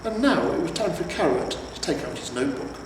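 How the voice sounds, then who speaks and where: A man narrates a story in a measured, pausing delivery. His voice is on the higher side, heard from a distance in a very reverberant room with faint noise. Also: lively intonation. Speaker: amateur audiobook reader. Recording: roomy, echoing, distant mic with noise.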